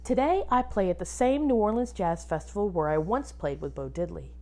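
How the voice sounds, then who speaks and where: Animated woman reading aloud to children. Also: mid-range voice, a little fast. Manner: expressive, instructive, asks the listeners questions. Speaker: music teacher reading a picture book to pupils. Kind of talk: children's read-aloud with questions.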